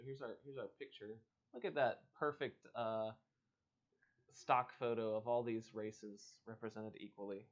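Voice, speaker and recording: A man hosting a livestream storybook read-aloud, animated and joking, with casual self-deprecating asides. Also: lowish voice, unhurried, lo-fi sound. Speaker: host reading a book aloud on a livestream.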